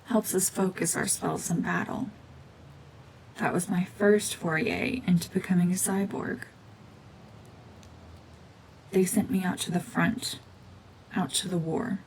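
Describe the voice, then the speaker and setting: A woman voicing a cold, mean, world-weary cyborg witch and war veteran in a hushed, breathy, brooding, deliberate ASMR drama monologue. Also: fairly low pitch, a little hiss or hum, poor audio.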